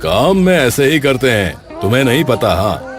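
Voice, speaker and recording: A man playing a stingy, boastful village vegetable seller in a comic cartoon, sarcastic and gruffly dismissive. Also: mid-range voice, sing-song, moderate pace, music in the background, close to an everyday voice.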